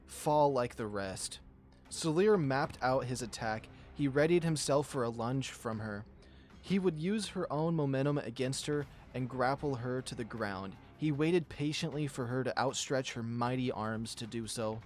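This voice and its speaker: Mid-pitched man narrating a story. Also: normal speed, soft background music. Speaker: visual novel reader and channel host. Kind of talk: dramatic storytelling narration with casual commentary.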